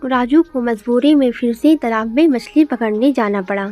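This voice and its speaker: A woman's voice: a little high, normal speed, warm tone, faint music behind. A woman reading scripted narration for a moral story, as a storyteller.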